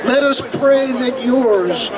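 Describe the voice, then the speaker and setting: A man reciting his poems at an outdoor rally in a measured, declamatory way. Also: extremely high pitch, distant mic. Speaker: poet. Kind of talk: spoken-word poetry reading. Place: outdoor urban park rally. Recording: crowd and street noise, off-mic.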